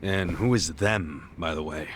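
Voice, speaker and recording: An actor playing a cocky, good-natured street tough in an English video game dub, talking in a blunt, wry, casual way. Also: male voice, a bass voice, measured pace, a little hiss or hum. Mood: defiant, wary.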